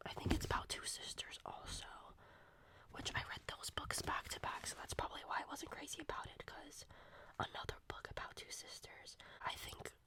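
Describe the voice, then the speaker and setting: A young woman with a cold, whispering a casual, rambling ASMR book review full of fillers. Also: normal speed. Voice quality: soft unvoiced whisper. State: sick. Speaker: ASMR creator.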